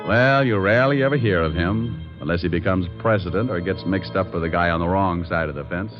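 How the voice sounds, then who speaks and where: Male actor as a private detective, wry, playful, low-pitched, 1940s radio style, dull old recording. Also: fairly quick, over music, close to an everyday voice. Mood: wry narration. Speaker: lead actor and narrator. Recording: muffled old radio broadcast.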